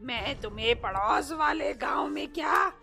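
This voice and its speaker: A woman voicing an evil female witch in a cartoon story, with gloating shouts and cackling laughter. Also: high-pitched, a little slow, creaky, faint music behind, full character voice. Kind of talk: cartoon voice acting.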